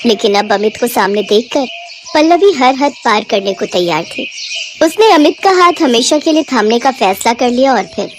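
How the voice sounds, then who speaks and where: A woman's voice: a little high, animated, normal speed, a little hiss or hum. A woman reading a scripted moral story as its narrator.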